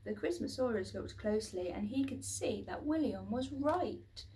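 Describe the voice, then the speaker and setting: A woman reading a children's book aloud as expressive, dramatic storybook narration. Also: a little high, moderate pace, far from the mic. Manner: dramatic, with acted sound words.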